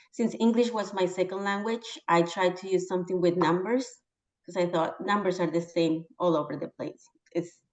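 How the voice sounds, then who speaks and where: Casting: woman, earnest panel intro, slightly low voice, dull lo-fi sound. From Colombia. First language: Spanish. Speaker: panelist, financial analyst.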